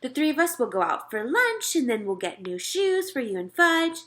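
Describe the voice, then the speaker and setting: Lady doing a storybook mom, upbeat and insistent, higher pitched, bright and swoopy. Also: sing-song, normal speed. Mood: coaxing. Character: upbeat, insistent mother coaxing her son.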